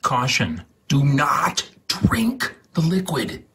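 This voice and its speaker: A man giving a deliberate, dramatic reading of step-by-step instructions with exaggerated enunciation, in a husky, breathy voice. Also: a little high, wildly swooping pitch, noisy background. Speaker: host.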